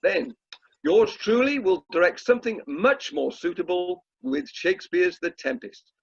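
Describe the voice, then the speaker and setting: A man's voice: high voice, very animated, unhurried, gravelly, husky, exaggerated voice. A man playing a pompous, easily exasperated drama society chairman with a British accent, in an amateur radio play. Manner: pompous, self-important. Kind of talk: amateur radio play acting, speech-making. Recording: dull online call audio.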